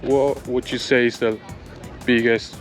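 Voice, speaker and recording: A man hosting an interview, relaxed, cutting in with short follow-up questions. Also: mid-range voice, unhurried, music in the background. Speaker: interviewer and channel host.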